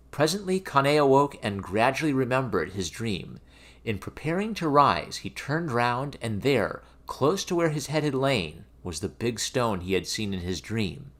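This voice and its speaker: A man reading a fairy tale aloud as an expressive, theatrical storybook narration. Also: medium pitch. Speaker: storyteller, reader of fairy tales.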